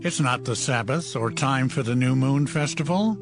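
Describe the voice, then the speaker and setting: A man's voice: mid-range voice, moderate pace, over music, close to an everyday voice. A man playing a practical, questioning farmer husband in a dramatized scripture audiobook. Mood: puzzled.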